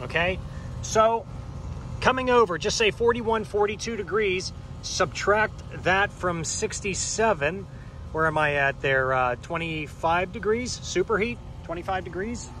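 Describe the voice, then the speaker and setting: A man in his thirties with a fairly high voice talks an audience through a repair on an outdoor unit, one step at a time. He keeps checking in with a quick "okay?". The camera mic picks him up outdoors, with faint traffic and street sound behind him. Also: a bit bright. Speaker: HVAC technician. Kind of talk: hands-on how-to explanation. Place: outdoors by an air conditioning unit in a yard. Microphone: camera mic, handheld or on a tripod.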